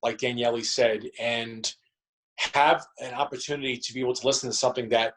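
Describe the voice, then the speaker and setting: Male actor being interviewed, middle pitch, conversational, circles back over his phrases. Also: fairly even intonation, fairly quick, fairly bright tone, poor audio. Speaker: actor and theatre company member, interview guest.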